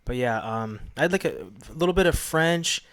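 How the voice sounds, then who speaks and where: A man's voice: a little high, expressive, normal speed, a bit bright. Laid-back man chatting on a podcast. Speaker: podcast co-host. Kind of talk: casual chat. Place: indoor room shared with co-host.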